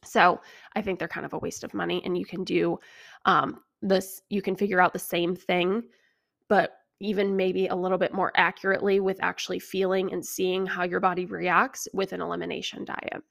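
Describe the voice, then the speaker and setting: A woman's voice: lowish voice, flat delivery, normal speed, gravelly, fairly bright tone, no echo, studio quality. A young adult American woman hosting a health podcast, explaining things in a conversational, opinionated way as she answers listener questions. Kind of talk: casual informative solo podcast talk, answering listener questions.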